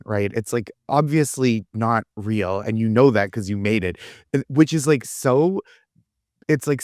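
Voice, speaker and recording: A man's voice: mid-range voice, darkish tone, dry recording, studio quality. A man riffing casually as a comedy panellist, incredulous and conversational, acting out imagined exchanges.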